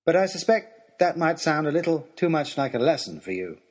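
A man playing an earnest, lovestruck gentleman adventurer who tells his journey in formal, old-fashioned, expressive storytelling. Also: on the high side, fairly quick. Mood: enthusiastic. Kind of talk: dramatised first-person storytelling, as a letter to a lady.

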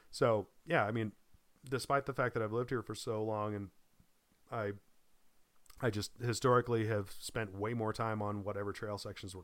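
A man's voice: lowish voice, fairly bright tone, smooth, no room sound. A man from Boise chatting casually as a podcast co-host, rambling with many ums and restarts, still recovering from a lost voice and coughing. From Boise, Idaho. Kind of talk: casual chat.

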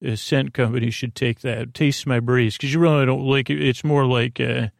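A man with a creaky, gravelly voice hosts a sleep podcast, gently and reassuringly rambling in a meandering, halting monologue that trails off mid-thought. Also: mid-range voice, steady tone, no room sound, studio quality. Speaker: podcast host.

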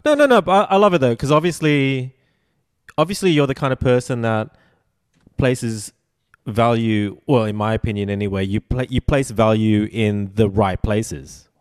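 A man's voice: medium pitch, clean tone, no room sound. Eager stammering man in his forties, dry sound. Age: 40s. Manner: enthusiastic, halting, many stumbles and "like" fillers. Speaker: podcast host. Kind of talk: casual interview chat.

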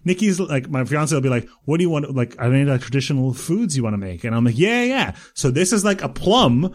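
A man's voice: big pitch swings, fast talker. A man from Yugoslavia, a native Croatian speaker, co-hosting a podcast in joking casual chat. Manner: joking, acts out quotes with big pitch jumps. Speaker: podcast co-host.

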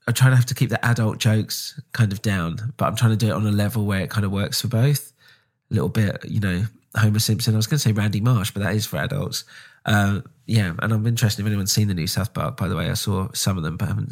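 A man hosting his own podcast, talking casually with many ums and restarts, nasal from a cold. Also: lowish voice. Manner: rambling, frequent ums and restarts. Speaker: podcast host. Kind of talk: casual solo update.

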